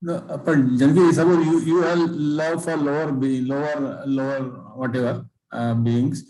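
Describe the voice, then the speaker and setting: A middle-aged man, a student in an online class, pressing the teacher with persistent, halting questions. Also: a little high, flat delivery, crowd noise. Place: plain room at home. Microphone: video call laptop mic. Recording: echoey room on a video call.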